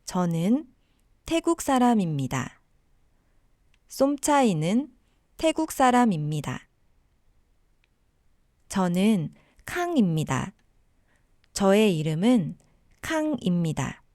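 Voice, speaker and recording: A woman teaching a language lesson, giving model sentences and simple explanations in a deliberate way for learners. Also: medium pitch, big pitch swings, mellow, no echo, clean studio sound.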